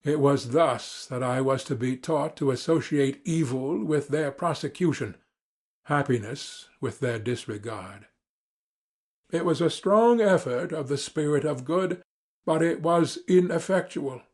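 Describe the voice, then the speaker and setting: A man reading classic literature as a dramatic audiobook narrator, formal, measured and earnest. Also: on the high side, a little mellow, husky.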